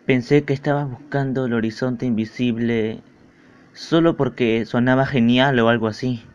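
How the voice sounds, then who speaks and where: A man's voice: medium pitch, fairly even intonation, moderate pace, smooth, lightly acted. Man voicing an earnest anime schoolboy, dull lo-fi sound. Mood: soft, reflective. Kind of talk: anime fandub acting. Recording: dull lo-fi audio with music behind. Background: music.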